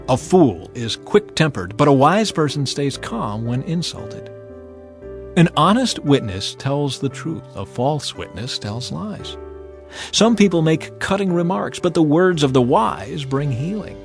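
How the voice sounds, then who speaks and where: A man narrating a dramatized audio Bible in a measured, emphatic way, with pauses between clauses. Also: medium pitch. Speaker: scripture narrator. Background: background music.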